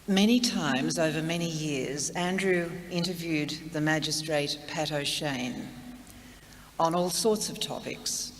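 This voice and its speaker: Higher-pitched man in echoey hall. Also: slow. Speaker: master of ceremonies. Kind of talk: formal speech introduction. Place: large hall at a memorial. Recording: echoey hall, background noise.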